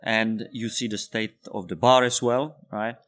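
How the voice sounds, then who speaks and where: A man in his thirties from the Czech Republic, a bartender guest in an interview, speaking English as a second language and telling animated stories with laughter. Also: on the low side, measured pace. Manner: animated storyteller, laughs while telling anecdotes. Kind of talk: casual interview storytelling.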